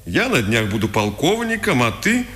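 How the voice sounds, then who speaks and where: A man's voice: medium pitch, huge pitch swings, normal speed, fairly bright tone. A man playing a smug, threatening Nazi police officer in a 1950s Soviet radio drama, taunting and condescending. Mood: smug persuasion. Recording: old radio recording, long hall reverb.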